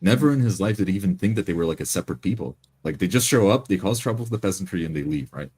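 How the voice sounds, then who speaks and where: A man's voice: sits low, lively intonation, rapid-fire, a little mellow, slightly rough. A man in a casual discussion between roleplay players, explaining his points insistently and checking for agreement.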